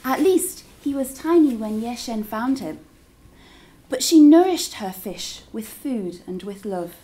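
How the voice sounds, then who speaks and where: A woman's voice: a little high, expressive, a little slow, warm tone. A woman teacher reading a story aloud to children in a dramatic, engaging way. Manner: dramatic, engaging listeners with questions.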